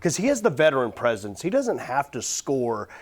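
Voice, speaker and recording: Mid-pitched male sports analyst. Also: very animated, normal speed.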